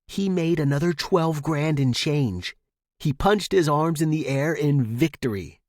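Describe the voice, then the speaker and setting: A man narrating a scripted pulp fiction story with expressive, dramatic delivery. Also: on the high side, measured pace, no room sound, clean studio sound. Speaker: audiobook-style fiction narrator.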